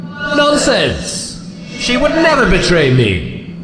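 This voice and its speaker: An actor playing a haughty, sardonic demon lord in a video game, with pompous, florid formal diction and a sneering manner. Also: male voice, extremely high pitch, wildly swooping pitch, slow, exaggerated voice. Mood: indignant. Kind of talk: theatrical video game villain acting. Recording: roomy echo, noisy ambient background.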